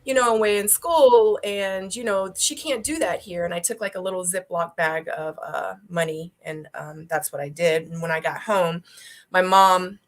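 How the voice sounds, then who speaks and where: A woman in her thirties, born in South Korea and raised in the United States, speaks as a guest in a relaxed interview. She talks at a low-ish pitch and fills her pauses with ums. She laughs easily. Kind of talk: casual interview conversation.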